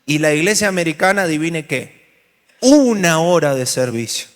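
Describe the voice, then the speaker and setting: A man's voice: fairly high pitch, expressive, moderate pace. A man with an Argentine Spanish accent, teaching a workshop to a church audience in a joking, storytelling way and asking them questions. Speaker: pastor leading a church workshop. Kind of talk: lively workshop talk to a congregation. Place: large hall with an audience. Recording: hall reverb.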